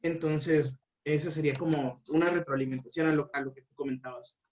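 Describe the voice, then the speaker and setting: A man's voice: on the high side, fairly even intonation, fairly quick, darkish tone, recorded from a distance, poor audio. A man explaining language learning as an interview guest, with many fillers and restarts.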